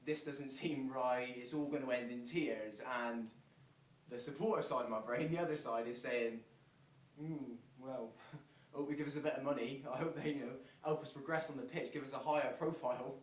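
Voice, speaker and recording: A man's voice: mid-range voice, moderate pace, natural voice. A man playing a wary but hopeful football fan in a stage monologue, torn between head and heart. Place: theatre performance space, recorded from far off. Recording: distant, echoey, noisy stage recording.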